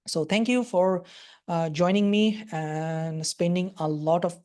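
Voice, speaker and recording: Bangladeshi-accented woman lecturing, mellow low voice. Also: fairly even intonation, slow. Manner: hesitant, many fillers. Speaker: radiology lecturer. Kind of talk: live webinar lecture with Q&A.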